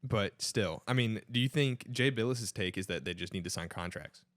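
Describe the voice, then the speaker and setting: A man with a somewhat low voice chats casually about sports as a podcast co-host. He talks in an informal, conversational way. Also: moderate pace, fairly bright tone, no echo.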